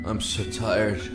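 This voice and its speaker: An actor playing a stubborn, lovesick young prisoner in an audio drama, earnest and pleading, with a stammer at times. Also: male voice, lowish voice. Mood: weary. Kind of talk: audio drama acting with reflective narration. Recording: roomy echo with music underneath.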